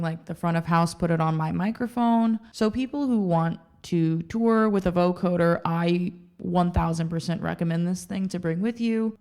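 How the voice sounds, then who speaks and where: Casual, low-pitched woman reviewing gear. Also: a little mellow, clear, resonant tone. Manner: conversational, informal, opinionated. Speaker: video presenter reviewing music gear.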